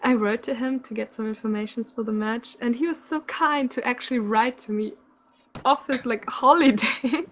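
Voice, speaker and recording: A woman commentating a live online game match, chatty and quick to laugh, sounding a little sick. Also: a little high, slight background noise. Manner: chatty, laughs often, uses "like" a lot. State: sick, slightly rough voice. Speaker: esports commentator.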